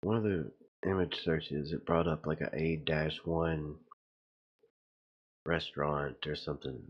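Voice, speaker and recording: A man's voice: very deep voice, steady tone, a little slow, husky, highs cut off. A man giving casual, rambling video commentary in a puzzled, insistent way. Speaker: video host.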